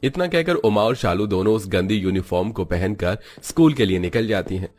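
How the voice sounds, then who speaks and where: A man narrates a scripted moral tale in a steady, even storytelling voice. His voice sits fairly low. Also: fairly quick.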